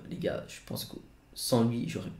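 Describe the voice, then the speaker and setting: A man's voice: moderate pace, darkish tone, echoey room. A man in his twenties talking casually to camera from his home studio, rambling with many fillers and restarts. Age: 20s. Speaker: YouTuber.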